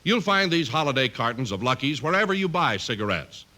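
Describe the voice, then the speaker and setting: A man's voice: mid-range voice, big pitch swings, a little fast, sharp tone. A man reading 1950s radio advertising and credits as an announcer, with emphatic salesman delivery.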